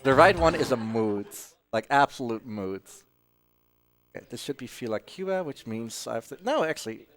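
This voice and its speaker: Male speedrunner, non-native English, casual wry commentary, animated pitch, game music behind. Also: moderate pace. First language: not English. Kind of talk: casual live gameplay commentary. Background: video game music.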